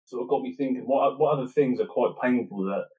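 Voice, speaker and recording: A man's voice: medium pitch, fast talker, mellow, highs cut off. A British man, a writer being interviewed, explaining himself casually in a loose, rambling, self-correcting way.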